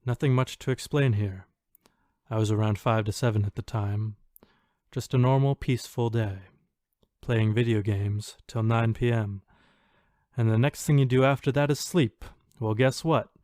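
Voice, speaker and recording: A frightened ghost-story teller, played by a man recites an encounter with dramatic, emphatic delivery. The voice is medium in pitch and recorded dry, in podcast storytelling style. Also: fairly even intonation, normal speed, darkish tone, no echo, lightly acted.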